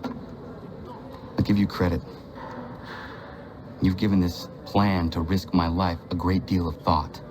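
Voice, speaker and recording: A man's voice: sits low, little pitch movement, takes it slowly, pauses often. An actor playing a stern, earnest young male prisoner with a formal, blunt way of speaking, in a game drama. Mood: exasperated. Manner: formal, blunt, without contractions. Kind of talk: dramatic game cutscene acting. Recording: clear background noise and ambience.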